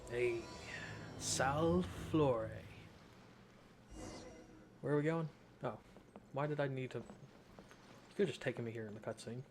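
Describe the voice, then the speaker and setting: A man's voice: mid-range voice, measured pace, long pauses, a little hiss or hum. A man hosting a gameplay video with casual, informal commentary, reacting in short asides. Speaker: gaming video host. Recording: soft game music behind.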